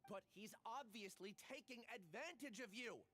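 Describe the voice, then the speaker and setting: A man's voice: high-pitched, moderate pace, sharp tone. An actor playing an earnest young male scholar who protests readily. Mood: indignant.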